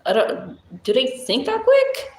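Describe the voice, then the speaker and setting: Moroccan-accented woman, livestream host, deep-voiced, blunt and chatty, unhurried, roomy echo. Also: huge pitch swings, darkish tone. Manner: chatty, blunt, laughs often, thinks aloud. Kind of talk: casual livestream chat reading comments.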